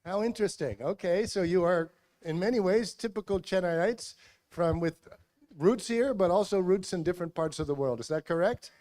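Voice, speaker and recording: Male teacher-host, a touch high-pitched, quizzing students with quick encouraging prompts into a handheld mic. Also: a little mellow. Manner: encouraging, brisk follow-up questions. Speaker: school assembly host and teacher. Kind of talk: questioning students at an assembly. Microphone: handheld microphone.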